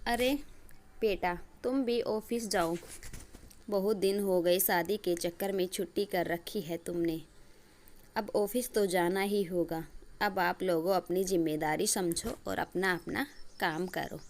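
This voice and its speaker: A woman's voice: fairly low pitch, steady tone, measured pace, a little mellow. A woman voicing a bossy, scolding middle-aged mother-in-law in a story cartoon, nagging and giving orders. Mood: commanding. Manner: nagging, commanding.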